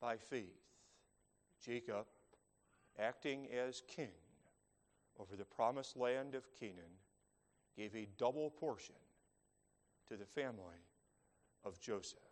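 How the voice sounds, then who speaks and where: A man preaching a sermon, speaking in slow, measured phrases with long dramatic pauses. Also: medium pitch. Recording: long reverb of a large hall.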